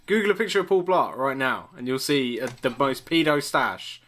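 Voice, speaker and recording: A man's voice: mid-range voice, big pitch swings, normal speed, fairly bright tone. Male co-host, mid-range voice, flippant and slangy, loose bantering chat. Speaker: podcast co-host. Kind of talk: casual joking chat. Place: online video call.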